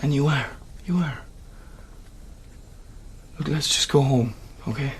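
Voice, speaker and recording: A man's voice: medium pitch, slow pace, natural voice. Young Irish man played by a male actor, teasing yet proud, worn down by illness, radio drama, faint background noise. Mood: upset, pleading. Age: young adult. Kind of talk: naturalistic radio drama acting.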